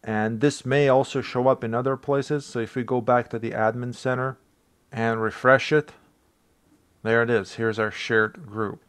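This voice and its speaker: A young man with a Bosnian accent walks through a software fix in loose, chatty style. He rambles a bit, laughs at himself and circles back with "anyways." His voice sits at an ordinary mid pitch. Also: clean tone. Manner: rambling, self-deprecating, chuckles. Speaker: tech tutorial creator. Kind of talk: casual screen-recorded tutorial.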